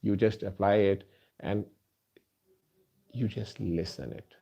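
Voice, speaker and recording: A man whose first language is Urdu, a doctor, earnestly addressing listeners in a halting way with many fillers. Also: on the low side, fairly even intonation, vocal fry, warm tone, slightly rough. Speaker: doctor and healer. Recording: dull lo-fi recording.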